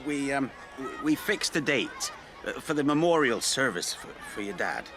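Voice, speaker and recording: An actor playing a guarded, gentle older village uncle in a radio drama, hesitant and repeating himself. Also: male voice, medium pitch, sing-song, normal speed, a crowd in the background, background noise. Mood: warm, teasing. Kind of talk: naturalistic radio drama acting.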